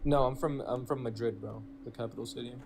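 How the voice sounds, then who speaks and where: A young man in his twenties talks casually in a slangy, laid-back way. His voice sits at an ordinary pitch, and there is clear noise in the audio of the live stream. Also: fairly even intonation, fast talker. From born in Venezuela, raised in Spain. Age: 20s. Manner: laid-back slangy speech, says "man" and "bro" often. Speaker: crypto live stream participant. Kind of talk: casual chat.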